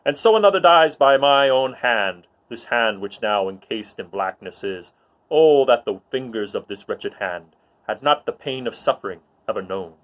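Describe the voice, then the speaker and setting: A man voicing a menacing, brooding dark lord, declaiming Shakespearean verse in a commanding manner. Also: mid-range voice, lively intonation, moderate pace, lo-fi sound, close to an everyday voice. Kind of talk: dramatic reading of mock-Shakespearean verse.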